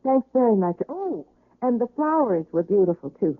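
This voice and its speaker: A woman's voice: fairly high pitch, sing-song, normal speed, no echo. An actress playing a sentimental working woman who is a hospital patient, in a 1970s-style radio drama. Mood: friendly, composed. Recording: dry, thin phone-like old radio recording.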